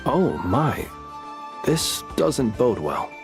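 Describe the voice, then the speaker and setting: An actor voicing a calm, teasing male lighthouse keeper in a game, speaking with dry humor and an unhurried manner. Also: mid-range voice, over music, exaggerated voice. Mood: wry. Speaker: game character.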